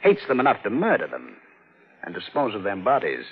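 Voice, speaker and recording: An actor playing a grave, official but kindly police chief in an old-time radio drama. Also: male voice, fairly low pitch, huge pitch swings, breathy edge, full character voice. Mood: grave, confiding. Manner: official, deliberate, confiding. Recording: dull, muffled old radio recording.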